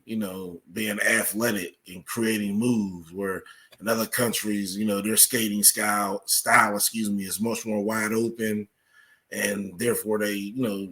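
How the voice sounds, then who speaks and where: A man with an American accent speaking as a researcher guest in a podcast interview, explaining things in a measured way with examples. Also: fairly low pitch, fairly bright tone.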